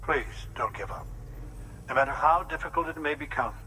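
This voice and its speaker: An actor playing a kindly, courteous older doctor who speaks earnestly in a video game. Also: male voice, on the high side, normal speed, sharp tone, slight background noise, rough recording. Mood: imploring. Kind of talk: video game cutscene acting.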